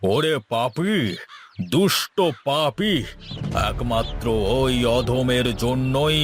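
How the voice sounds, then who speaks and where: A man voicing a wrathful village holy man, making drawn-out pronouncements in a cartoon. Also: medium pitch, lively intonation. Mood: wrathful, commanding. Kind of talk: Bengali cartoon story dialogue. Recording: music behind.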